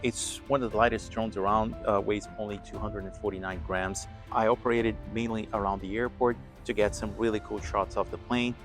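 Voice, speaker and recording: Guy with a lowish voice chatting through his pilot gear for a vlog, bit of music under it. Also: steady tone, brisk, hardly any pauses. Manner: conversational, explanatory. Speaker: aviation vlog host and pilot. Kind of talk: casual explanatory gear walkthrough to camera.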